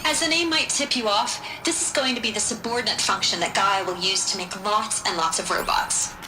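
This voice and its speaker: An actress plays a female tech scientist delivering a game briefing. She is casual, enthusiastic and wry, with a medium-pitched voice in an echoey space over music. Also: a little fast, lightly acted. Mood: upbeat, wry. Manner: casual, animated, wryly emphatic. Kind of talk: video game recorded orientation speech. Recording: echoey room with music behind.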